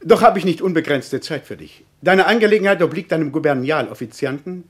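An actor playing an evasive government official in a German radio drama, bureaucratic and dismissive. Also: male voice, fairly high pitch, lively intonation, fairly quick, natural voice. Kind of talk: German radio drama acting, formal period speech.

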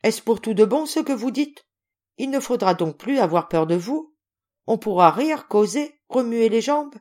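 A woman's voice: on the high side, animated, moderate pace, darkish tone, voice pushed up. A woman voicing a small, timid and candid little boy in a storybook, polite and naive. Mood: delighted. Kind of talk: acted storybook reading.

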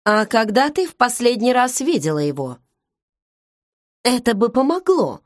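A woman's voice: on the high side, sing-song, moderate pace, no echo, studio quality. A woman reading the part of a nosy, predatory young woman who presses with questions and smiles without meaning it, in an audiobook. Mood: insistent. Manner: prying, insistent questions. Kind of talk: audiobook character voice.

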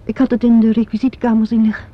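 A woman's voice: fairly high pitch, completely flat delivery, fast talker, close to an everyday voice. An actress playing a proud, loyal theatre star in a Dutch radio play, emphatic and dramatic in her delivery. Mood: defensive, firm. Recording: dull, lo-fi old radio recording.

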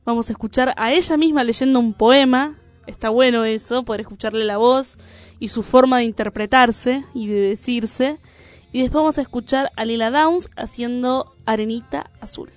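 A woman hosting a radio program, introducing readings and songs in a relaxed, conversational way. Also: on the high side, normal speed, soft background music. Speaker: radio host.

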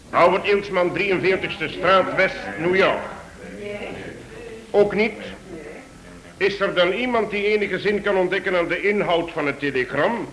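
Dry, higher-voiced chief inspector. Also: male voice, a bit bright, rough recording. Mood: official, probing. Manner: formal, measured, questioning. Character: authoritative, dryly ironic male chief police inspector. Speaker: actor in a radio play. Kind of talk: Dutch radio drama acting.

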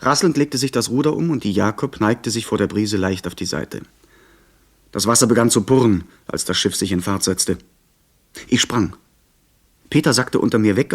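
An actor playing a young gentleman who recounts his sea adventure in measured, literary radio drama narration. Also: medium pitch, breathy edge. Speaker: narrator and lead character. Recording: 1970s radio play, faint background noise.

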